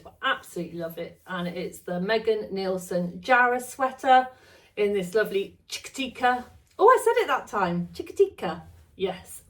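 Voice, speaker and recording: A woman chatting casually to camera about sewing from her home studio, her voice a little gravelly, rambling and correcting herself as she goes. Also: medium pitch, far from the mic. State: slightly gravelly voice. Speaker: sewing vlogger.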